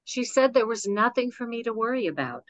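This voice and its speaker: An older woman playing a watchful, protective mother of a grown daughter, speaking in a measured way at a table read. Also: a little high, lively intonation, no echo, muffled recording, lightly acted. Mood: reflective, probing. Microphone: video call microphone.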